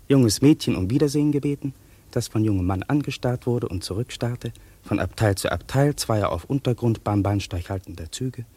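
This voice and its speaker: An actor playing an earnest, lovestruck young jobless drummer with a husky voice in a 1950s-style German radio drama. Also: male voice, on the low side, warm tone, no echo.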